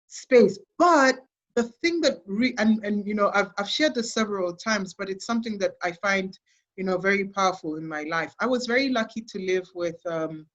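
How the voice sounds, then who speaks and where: Woman, Kenyan accent, young adult, enthusiastic, restarts often, muffled lo-fi sound. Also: mid-range voice. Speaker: guest, sports consultancy CEO. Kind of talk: casual interview talk.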